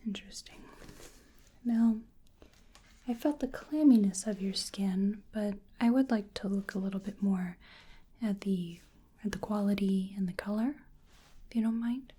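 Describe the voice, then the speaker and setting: Slow, breathy whispering ASMR woman. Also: mid-range voice. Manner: gentle, hesitant, musing delivery. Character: curious, polite female researcher examining a creature. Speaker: ASMR roleplay performer. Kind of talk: soft-spoken ASMR roleplay.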